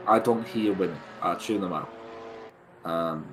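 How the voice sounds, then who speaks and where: A man's voice: low voice, a little slow. A British man co-commentating on an online race in casual, joking chat, with a stop-start, hesitant way of talking. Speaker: esports livestream co-commentator. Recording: noisy voice chat on race stream.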